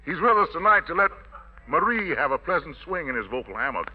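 A man with a high-pitched voice acts as master of ceremonies on a wartime-era radio variety show. He announces in ornate, slangy showman's patter. The sound is dull and lo-fi, like an old broadcast. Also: sing-song, brisk. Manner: florid jive-talk showmanship.

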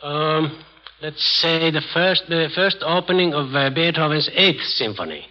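A man appearing as a guest on a radio quiz, putting formal, measured questions to children in a slightly halting way. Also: fairly high pitch, animated. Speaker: guest musician quizzing children. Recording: dull lo-fi vintage radio recording.

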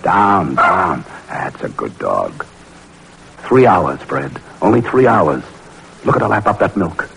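A man's voice: deep voice, big pitch swings, takes it slowly, vocal fry, a bit bright, slightly rough, heavily acted. A man playing a level-headed, thoughtful physiologist and lab partner in an old-time radio drama, matter-of-fact in manner. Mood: relieved. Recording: noisy old radio recording.

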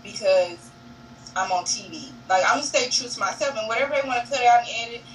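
A woman's voice: fairly high pitch, fast. A young woman in a casual interview on a video call, candid and laughing often, calling people honey and y'all. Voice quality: naturally monotone voice. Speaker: reality show cast member, guest. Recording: distant mic with faint noise.

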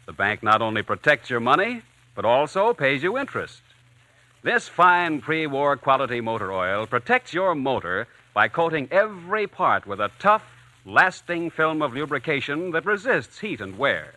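A man's voice: mid-range voice, big pitch swings, normal speed, pushed and bright, no echo. A man announcing and reading sponsor copy in a polished, emphatic 1940s radio style.

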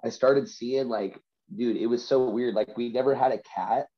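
A man's voice: mid-range voice, fairly even intonation, normal speed, dull audio. A man from Vancouver with a congested, stuffy nose, sick and chatting casually as a guest, full of slangy bros and dudes. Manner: slangy, says bro, dude and you know. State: sick with a stuffy cold from covid. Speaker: podcast guest, hobby rapper and poet. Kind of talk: casual chat, personal storytelling.

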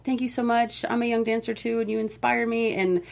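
A woman dance coach answering interview questions casually, in an informal, emphatic way with halting starts. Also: a little high, flat delivery, creaky, a little mellow.

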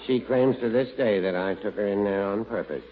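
A man's voice: lowish voice, fairly quick, natural voice. A man playing a meek, timid old druggist. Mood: mild. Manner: meek, hesitant, mild. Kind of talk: 1940s radio acting. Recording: dull old radio recording with noise.

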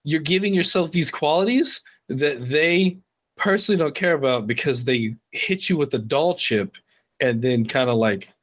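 A man's voice: a little high, normal speed, fairly bright tone. A man co-hosting a podcast, casually pushing back and rambling a little. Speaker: podcast co-host. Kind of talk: casual chat.

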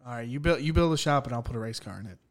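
A man co-hosting a podcast, talking casually with a dry, teasing deadpan. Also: medium pitch, rapid-fire. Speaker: podcast co-host. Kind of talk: casual chat.

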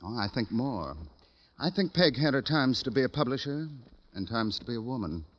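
An actor playing a self-important, fussy book publisher in an old radio drama, talkative and pompous. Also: male voice, mid-range voice, sing-song, normal speed. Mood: confiding, pompous. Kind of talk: 1950s radio drama acting. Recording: dull old radio recording.

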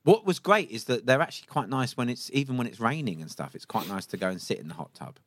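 A man's voice: medium pitch, lively intonation, fast, no room sound, studio quality. A man co-hosting a podcast, interviewing a guest casually with playful asides and lots of ums. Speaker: podcast co-host.

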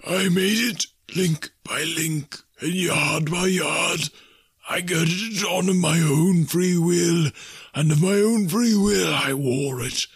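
A man voicing a mournful chained ghost in a classic story reading, with slow, solemn, wailing declamation. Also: fairly high pitch, breathy edge, dry recording, pitched above the performer's own voice, exaggerated voice. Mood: grave, menacing. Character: mournful male ghost in chains, tormented by remorse.

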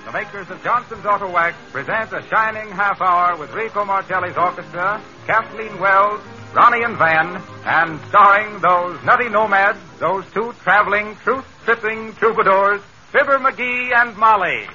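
A man's voice: high-pitched, normal speed. An American man announcing a 1930s radio show in showy, scripted style. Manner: showy, alliterative delivery. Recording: dull old radio recording, faint music behind.

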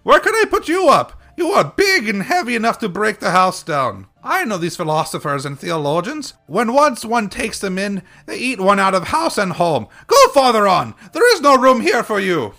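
A man's voice: high voice, wildly swooping pitch, normal speed, few pauses, sharp tone, faint music behind, pitched above the performer's own voice, exaggerated voice. A man voicing a grumpy, stingy old peasant woman who scolds and refuses sharply. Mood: scolding.

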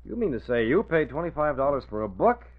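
A man plays a resolute, authoritative boss in an old-time radio drama. His medium-pitched voice moves animatedly while staying reasoned and explanatory. The sound is tinny and narrow. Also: sing-song, fast, lightly acted. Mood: reasoning, amused. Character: level-headed, determined male boss, secret crime fighter. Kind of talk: 1940s radio drama acting.

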